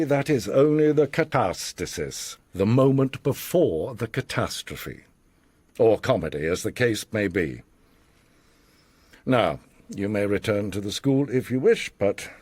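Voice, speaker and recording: An actor playing an older, worldly and enigmatic mastermind in a radio drama, speaking in a theatrical, measured, formal and philosophical way with a husky voice. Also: male voice, deep voice, darkish tone, dry recording, full character voice. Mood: lofty, assured. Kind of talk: radio drama acting, storytelling monologue.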